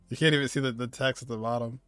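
A man's voice: medium pitch, fairly bright tone. Casual man reacting, game music behind.

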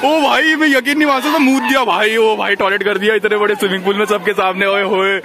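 A young man chatting casually and teasingly in slang as an excitable vlogger, recorded on a handheld phone. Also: high-pitched, fast. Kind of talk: casual vlog chat. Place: outdoor public swimming pool. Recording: noisy, crowded outdoor pool. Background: crowd, splashing, outdoor noise.

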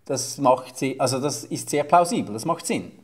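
A man's voice: medium pitch, expressive, moderate pace. A young man, explaining his reasoning as a podcast guest, often restarting and searching for words.

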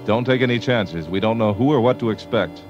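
An actor playing a decisive, cautious spaceship captain with a brisk, firm manner. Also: male voice, fairly low pitch, dull audio, music in the background, lightly acted.

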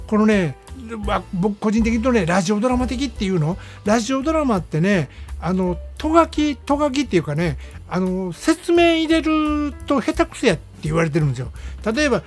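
A radio host with a Kansai Japanese accent, chatting casually through a movie review in an explanatory, conversational way. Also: medium pitch. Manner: conversational, explanatory, frequent "ne" tags. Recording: music playing behind the voice. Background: background music.

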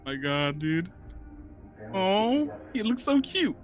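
A young man reacting to a film in casual, slangy, profane commentary, speaking excitably into a close studio mic. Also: sits high, slow, faint music behind. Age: young adult. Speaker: movie reaction host. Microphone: close boom-arm studio microphone, headphones.

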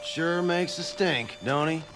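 A salty cowboy fighter in a Western game, pitched high for a man. He is folksy and brisk, with faint music behind. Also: rough recording. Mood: wry. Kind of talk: Western video game voice acting.

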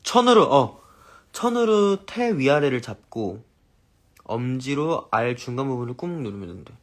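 A man's voice: medium pitch, very animated, a little slow. A young man in his twenties, chatting playfully and giggling on a casual live stream.

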